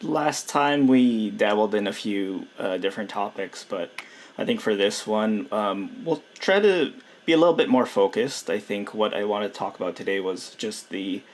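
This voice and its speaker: A man hosting a casual podcast chat, introducing the topic hesitantly with many pauses and "um"s. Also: fairly low pitch, expressive. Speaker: podcast host.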